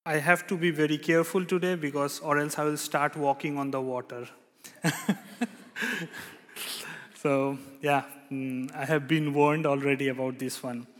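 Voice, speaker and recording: A young man from India delivers a sermon at an unhurried, halting pace, filling his pauses with hesitations and restarting his phrases. His voice sits a little high for a man. It rings out with the long reverb of a church hall. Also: level tone, a bit bright. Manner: halting, many fillers and restarts. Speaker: preacher. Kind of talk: sermon with prayer.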